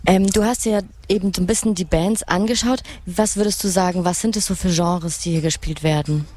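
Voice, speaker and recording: A woman's voice: fairly low pitch, steady tone, fairly quick, darkish tone. An informal female radio co-host. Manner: informal, colloquial. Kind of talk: casual live radio chat. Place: open lounge area at a festival site. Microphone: broadcast microphone.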